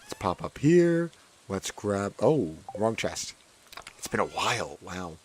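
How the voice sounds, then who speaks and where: A hoarse man with a fairly low voice talks his way through a farming game, his words cracking now and then. He chats loosely and says many lines twice. Faint noise sits under his voice. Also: sing-song, slow, darkish tone. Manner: chatty, repeats his own phrases. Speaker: gaming streamer. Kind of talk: casual gameplay commentary.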